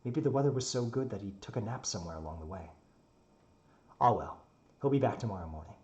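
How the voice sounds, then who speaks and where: A man's voice: fairly low pitch, quick pace, darkish tone, close to an everyday voice. A man voicing a proud, grumpy non-human creature in a dramatic story reading, haughty and brooding. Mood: impatient, worried. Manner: brooding, haughty, muttering monologue.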